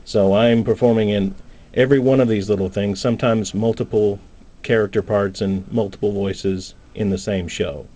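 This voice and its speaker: A man's voice: on the low side, fairly even intonation, normal speed, dark tone. A man speaking casually as a guest in a radio interview, a playwright who also performs.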